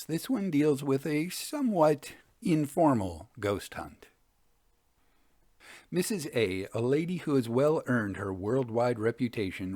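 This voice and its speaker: A man hosting and reading old ghost stories as scripted narration, in a measured storytelling manner. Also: lowish voice, darkish tone, no room sound, clean studio sound.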